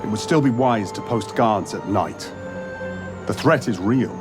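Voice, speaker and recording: Wry, expressive wandering monster hunter. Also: male voice, on the low side, over music. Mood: grave. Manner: sardonic, formal, measured. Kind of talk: video game character acting. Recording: clear background noise.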